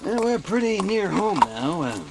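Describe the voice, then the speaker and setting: An old farmer speaks shyly and haltingly, with a very mobile pitch. He is kindly and fumbles for words, in the manner of a dramatised storybook. Clear background ambience surrounds him. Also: very animated, background noise, full character voice. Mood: gentle, obliging. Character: shy, kindly, hesitant sixty-year-old farmer. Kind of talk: audiobook dramatisation acting.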